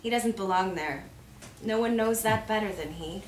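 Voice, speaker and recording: A woman giving a measured, contemplative live reading of reflective prose. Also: medium pitch. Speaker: reader at a readers theater event. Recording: echoey room with faint background noise.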